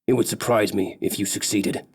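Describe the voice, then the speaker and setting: A man's voice: on the low side, steady tone, moderate pace, darkish tone, slightly rough. A man doing a blunt mountain guide. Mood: skeptical. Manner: blunt, bargaining. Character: gruff male mountain guide, wary mercenary.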